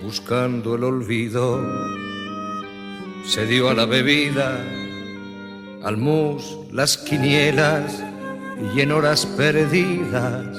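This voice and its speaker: Male ballad singer. High register, drawn-out phrasing, strong musical backing, reverberant recording. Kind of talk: slow melodic singing of a Spanish ballad.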